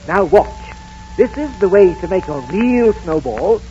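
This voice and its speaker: A meek, excitable clerk in a radio drama. His voice is very high and leaps about. The old recording is muffled, with music behind it. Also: measured pace. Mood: jolly. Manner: excitable, deferential. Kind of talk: 1930s radio acting.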